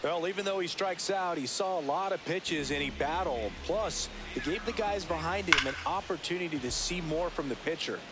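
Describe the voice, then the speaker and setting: A man plays a video game baseball color analyst with a slightly high voice. He explains each play in flowing, measured sentences with an approving, instructive manner. Heavy ambient noise sits behind him. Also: close to an everyday voice. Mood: explanatory, approving. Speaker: color commentator.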